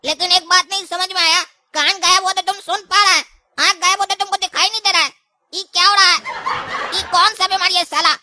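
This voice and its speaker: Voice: very high-pitched, steady tone, brisk, music in the background. A performer voicing a bossy, bickering young male cartoon pumpkin, an older brother who talks in colloquial scolding Hindi. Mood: baffled, worried. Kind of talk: comic cartoon dialogue.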